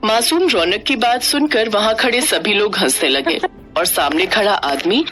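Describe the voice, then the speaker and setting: A woman's voice: medium pitch, a little slow, a bit bright, faint music behind. A woman narrating a scripted children's moral story.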